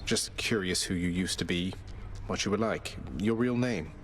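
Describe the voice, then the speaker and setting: An actor playing a courteous, wry young god prince in a video game, polite and understated. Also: male voice, deep voice, moderate pace, close to an everyday voice. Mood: curious, sincere. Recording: music under the voice.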